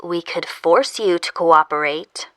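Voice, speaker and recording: A woman's voice: on the low side, unhurried, clear, resonant tone, close to an everyday voice. An actress playing a stern female government interrogator in an audio drama, speaking in a formal, curt and insistent way. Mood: insistent, threatening. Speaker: voice actor. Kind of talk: audio fiction drama dialogue.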